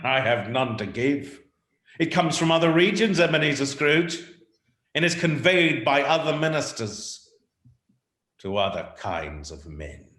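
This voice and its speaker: A tormented male ghost declaims slowly in a roomy echo. His grave, remorseful words swell and sink with theatrical weight, in the manner of a radio play. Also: mid-range voice, big pitch swings. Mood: grave, restless. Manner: solemn, drawn-out declamation with big swells and hushed drops. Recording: roomy, echoing sound.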